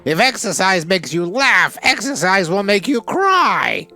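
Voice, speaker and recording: A man's voice: high voice, sing-song, a little slow, pushed and bright, faint music behind, full character voice. A man voicing a boastful, sarcastic robot bear villain from a video game, with theatrical, taunting delivery.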